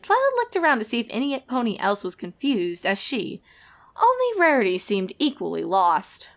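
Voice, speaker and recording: A woman's voice: on the high side, wildly swooping pitch, moderate pace. A woman reading scripted comic fiction as a storyteller, narrating the action between lines of dialogue.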